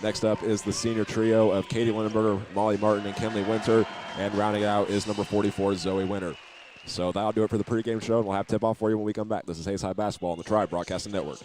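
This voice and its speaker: Fast, low-voiced American sports announcer. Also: male voice, little pitch movement, a little mellow, slight background noise. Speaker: play-by-play sports broadcaster. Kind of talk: radio pregame commentary.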